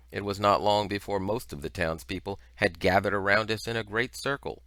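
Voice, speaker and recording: A man reading a story aloud as scripted narration, with expressive, deliberate phrasing. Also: on the low side, no echo. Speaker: audiobook reader.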